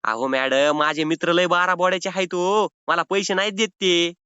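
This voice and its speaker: A man's voice: sits high, fairly even intonation, normal speed, sharp tone, smooth, no room sound. A man playing a cheeky, evasive rural Maharashtrian borrower with a whiny, argumentative way of stalling in a comic phone call. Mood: whiny excuses. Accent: rural Maharashtrian Marathi. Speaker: prank caller playing a loan defaulter. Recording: slightly dull phone-call audio.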